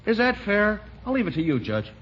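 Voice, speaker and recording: A garrulous, griping barber in old radio comedy. His husky, high-set voice moves in lively swings through a dull vintage recording. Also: brisk. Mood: griping, chatty. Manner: folksy, complaining, garrulous. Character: chatty, griping male small-town barber. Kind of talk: 1940s radio comedy acting.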